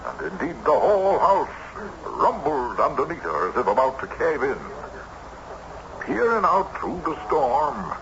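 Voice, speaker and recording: Old-timey gentleman telling a spooky story, slow n dramatic, muffled hissy old radio show. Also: mid-range voice. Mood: ominous. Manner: formal, theatrical, suspenseful storytelling. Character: Mister Derwin, dramatic period gentleman telling an eerie tale.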